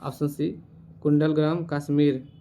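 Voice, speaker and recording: A man's voice: a little high, level tone, a little slow, mellow. A man teaching as a quiz host, reading out questions and answers in an instructional way and repeating the answers. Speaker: quiz teacher for exam preparation.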